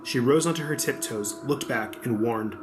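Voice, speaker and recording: A man's voice: medium pitch, normal speed, background noise. A man narrating a scripted adventure audiobook as the storyteller.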